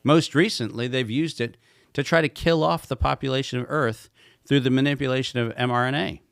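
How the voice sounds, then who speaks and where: A man's voice: medium pitch, steady tone, a little fast, vocal fry, smooth, no room sound. Emphatic man, dry close sound. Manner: emphatic, rhetorical questions. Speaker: guest expert. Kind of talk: podcast conversation.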